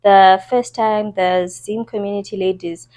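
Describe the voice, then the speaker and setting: A young woman from Zimbabwe co-hosting a podcast, telling stories in a relaxed, chatty way. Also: mid-range voice, measured pace. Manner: relaxed, chatty storytelling with many affirming murmurs. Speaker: podcast co-host. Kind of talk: casual chat.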